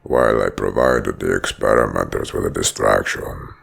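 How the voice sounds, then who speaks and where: A man playing a huge, menacing, obsessed prison-bred villain in a comic dub, narrating with slow, grandiose deliberation in a rumbling, gravelly voice. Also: fairly bright tone, soft background music, heavily acted. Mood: brooding, resolute. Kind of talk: dramatic first-person comic dub narration.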